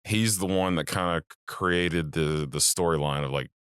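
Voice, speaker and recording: A young man with a very deep voice, relaxed and joking on a casual movie podcast. He hesitates and trails off as he searches for words. Dry, close sound. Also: moderate pace, smooth, no room sound. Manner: laid-back, joking, hesitant. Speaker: podcast co-host.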